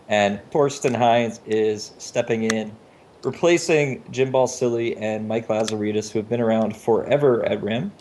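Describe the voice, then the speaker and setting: A man's voice: lowish voice, a little mellow, slight background noise. Chatty man hosting a casual podcast. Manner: chatty, informal. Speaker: podcast host. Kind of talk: casual video podcast talk. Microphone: Skype video call.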